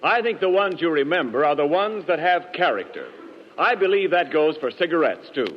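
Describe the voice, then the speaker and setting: A man's voice: on the high side, big pitch swings, brisk, a little hiss or hum. A man working as a radio announcer, reading ads and announcements in 1940s radio style. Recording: muffled 1940s radio broadcast.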